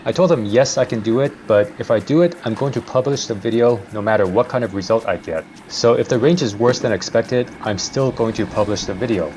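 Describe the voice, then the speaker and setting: A man's voice: medium pitch, brisk, few pauses, darkish tone, slight background noise. A young man hosting a vlog, narrating a casual product review.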